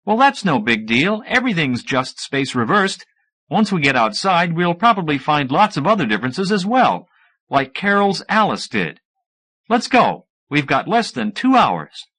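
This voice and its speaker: Man voicing a high, whiny slovenly scientist. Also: sing-song, moderate pace, sharp tone, exaggerated voice. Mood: dismissive. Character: slovenly male mad scientist, offhand and whiny.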